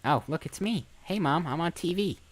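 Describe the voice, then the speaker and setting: A man's voice: fairly high pitch, expressive, moderate pace. An eighteen-year-old man chatting casually on a live stream, rambling, repeating himself and breaking off mid-sentence. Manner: rambling, repeats phrases, self-interrupting. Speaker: streamer and voice actor.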